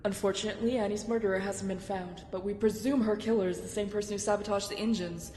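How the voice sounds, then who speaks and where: A woman playing a dutiful military admiral, formal, measured and respectful, in a sci-fi drama. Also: fairly even intonation, dark tone, close to an everyday voice. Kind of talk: sci-fi audio drama acting. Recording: echoey hall, clear background rumble.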